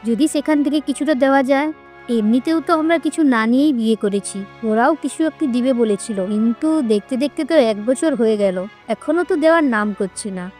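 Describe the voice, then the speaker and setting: Woman acting scheming, nagging mother-in-law, highish voice. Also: fairly quick, a little mellow, soft background music, natural voice. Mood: coaxing, sly. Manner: nagging, scornful. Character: scheming, greedy, bossy middle-aged mother-in-law. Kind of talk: Bengali cartoon story voice acting.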